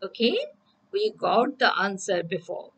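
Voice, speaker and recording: A woman's voice: mid-range voice, husky, low-quality recording. A woman teacher, giving a recorded English lesson and often checking in with "okay?". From Bangladesh. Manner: slow, repeats "okay?" to check understanding.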